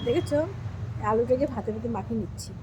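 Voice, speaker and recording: A woman's voice: a little high, quick pace, noisy background. A middle-aged woman from Bengal chatting casually to viewers while eating at home, calling them friends. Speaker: vlogger. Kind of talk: casual eating vlog chat.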